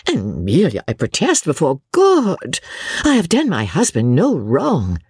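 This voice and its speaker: A man reading aloud as a scheming young woman who protests her innocence, raising his voice into a rough, higher register. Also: wildly swooping pitch, unhurried, a little mellow, dry recording, full character voice. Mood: protesting.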